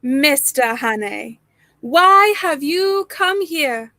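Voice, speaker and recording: A woman's voice: high-pitched, expressive, drawn-out words, sharp tone, no room sound, clean studio sound, exaggerated voice. A young woman playing a suave, menacing professor and secret spy in a 1930s radio play, genteel and theatrical. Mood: coolly menacing.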